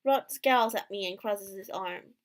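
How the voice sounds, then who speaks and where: A woman's voice: mid-range voice, a little slow. A woman hosting a gaming video, reading a visual novel's narration aloud expressively.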